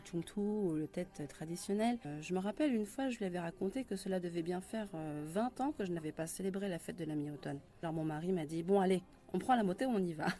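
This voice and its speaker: A woman's voice: on the low side, mellow. A woman in her thirties from France, telling her own story in a reflective way with some hesitations. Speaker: interviewee. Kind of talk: personal testimony.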